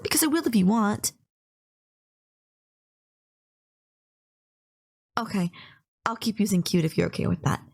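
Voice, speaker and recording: A woman's voice: mid-range voice, darkish tone. Young yandere woman female performer, audio roleplay: mid-range voice, polite, shy, smitten, earnest rambler with slight stammer. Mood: bashful, flattered. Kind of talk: first-person romantic audio roleplay, speaking to listener.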